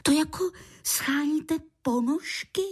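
A woman's voice: high-pitched, voice pushed up, natural voice. A woman voicing an impatient, wistful little boy creature in a storybook, eagerly firing questions. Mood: curious. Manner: eager, rapid-fire questioning.

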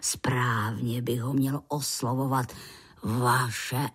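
A woman voicing a kindly, nostalgic old grandfather creature in a storybook, speaking slowly and reflectively. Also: a bass voice, steady tone, husky, voice pushed down. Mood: earnest. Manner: slow, reflective, insistent.

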